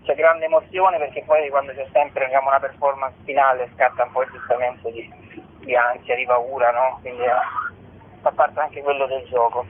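A man's voice: mid-range voice, flat delivery, moderate pace, slight background noise. A man speaking as an interview guest on a radio show, explaining at length in a discursive way over a telephone. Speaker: musician and theatre author, interview guest. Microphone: phone call to a radio show. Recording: narrow telephone-band sound.

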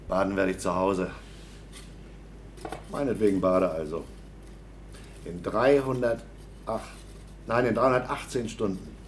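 A grumpy, sarcastic astronaut. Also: male voice, low voice, slight background noise. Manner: gruff and sarcastic, swears. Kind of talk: 1970s German radio play acting.

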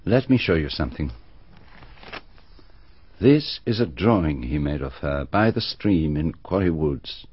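A composed detective in a radio play, deep and slightly husky, laying out facts deliberately. The sound is dry and muffled, like an old broadcast. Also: male voice, animated, no echo, exaggerated voice. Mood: grave. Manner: measured, deliberate, explanatory. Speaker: detective explaining the solution. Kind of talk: BBC radio drama acting.

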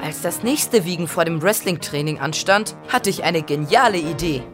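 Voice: medium pitch, expressive, moderate pace, over music, lightly acted. A performer playing a schoolboy diarist who narrates his own misfortunes in a wry, self-dramatising way for a German audio drama. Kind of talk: scripted first-person audio drama narration.

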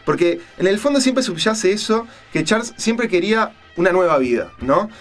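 A man with a Uruguayan accent hosting a casual radio chat, retelling stories in a halting, colloquial way and searching for words. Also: high-pitched, animated, soft background music. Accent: Uruguayan Spanish. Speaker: radio show host. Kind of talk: casual on-air chat, retelling a film and crime story.

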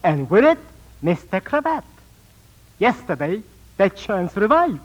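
An actor in a 1940s radio drama playing a sinister, bitter small-time swindler and phony psychic, with formal, theatrical diction. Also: male voice, high voice, sing-song, unhurried, heavily acted. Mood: bitter, grandiose. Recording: muffled 1940s radio recording.

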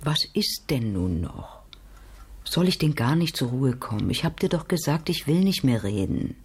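A husky, weary, guarded wife. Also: female voice, very deep voice, sing-song, normal speed, a little mellow, full character voice. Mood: evasive, pleading. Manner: plain-spoken, tired, guarded. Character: weary, evasive wife who stands up for herself. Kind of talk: radio drama acting.

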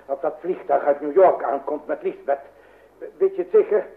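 Actor as fretful high-voiced father, vintage radio. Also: moderate pace, natural voice. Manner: agitated, stammering, repeating himself. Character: anxious, fussy, blustering middle-aged father. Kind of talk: old radio comedy drama acting. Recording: noisy, dull vintage radio recording.

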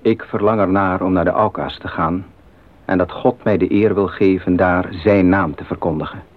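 Self-sacrificing missionary male actor, earnest solemn monologue, low register, narrow radio sound. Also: steady tone, moderate pace, close to an everyday voice. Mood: earnest longing.

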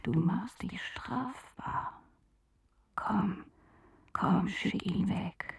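A woman's voice: mid-range voice, very slow, gravelly, heavily acted. A woman acting an eerie, insistent figure in a German radio drama, coaxing and scolding in repetitive whispers. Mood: urgent, coaxing. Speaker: radio play actor.

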